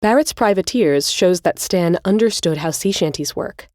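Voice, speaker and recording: Low-voiced woman narrating, dry close sound. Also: expressive, moderate pace, darkish tone, no echo. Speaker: podcast host. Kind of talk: scripted music-history narration.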